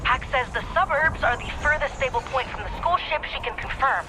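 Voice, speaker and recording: A woman's voice: sits high, a little fast, natural voice. A woman playing a cheeky, brash squad ally giving mission instructions in a video game. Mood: excited, urgent. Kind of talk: animated video game acting. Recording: noisy ambience with vehicle sounds.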